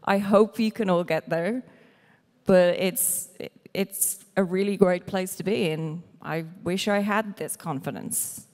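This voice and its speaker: A woman's voice: on the low side, takes it slowly, darkish tone. A woman in her forties on a conference panel, talking frankly and laughing often. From Ireland. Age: 40s. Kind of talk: panel discussion. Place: large conference hall stage. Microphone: handheld mic. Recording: reverberant hall.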